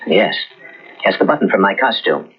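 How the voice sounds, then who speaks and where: A man's voice: low voice, expressive, normal speed, breathy edge. An actor playing a nervous, scheming male murder suspect in an old radio mystery, stammering when cornered. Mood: flustered. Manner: flustered, stammering. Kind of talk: 1940s radio acting. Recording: muffled old radio recording.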